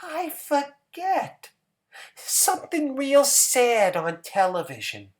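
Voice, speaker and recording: A man's voice: extremely high pitch, huge pitch swings, slow, pitched above the performer's own voice, full character voice. A man voicing a simple-minded, forgetful housewife with a childlike, cheerful manner in a dramatic story reading. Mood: vague, placid.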